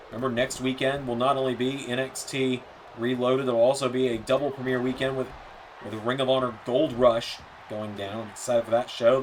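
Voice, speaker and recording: A man doing play-by-play commentary for a wrestling video game, his voice a bit hoarse and softer than usual, with slips and self-corrections. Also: medium pitch, a little hiss or hum, rough recording. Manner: rapid play-by-play, rising excitement on near-falls.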